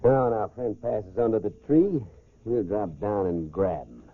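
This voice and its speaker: An actor playing a cocky, scheming young American bandit in a 1940s radio crime drama. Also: male voice, lowish voice, animated, unhurried. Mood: curt, commanding. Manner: cocky, commanding, curt. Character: scheming, ruthless young male athlete turned bandit killer. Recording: tinny, band-limited old radio recording.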